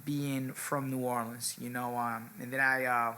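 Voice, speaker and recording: A young man from Ecuador telling his story as a podcast guest, in a relaxed, laid-back way with many fillers. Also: mid-range voice, unhurried, clean tone. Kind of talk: casual interview chat.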